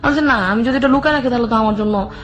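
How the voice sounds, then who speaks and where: A woman's voice: on the high side, rapid-fire. A woman guest telling a personal story in run-on narration, quoting both sides of each conversation. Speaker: interview guest. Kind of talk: personal storytelling with re-enacted dialogue. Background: background music.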